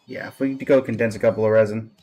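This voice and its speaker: A man's voice: lowish voice, steady tone, quick pace, warm tone. A man giving casual live-stream game commentary as the host, with a dry, resigned way of talking. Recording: music playing behind the voice. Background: background music.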